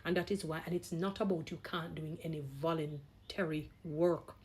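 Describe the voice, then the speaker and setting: Woman, rather low pitch, husky tone, emphatic delivery, repeats phrases for stress, opinionated vlog talk. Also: steady tone, moderate pace, a little mellow. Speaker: vlogger, gospel singer.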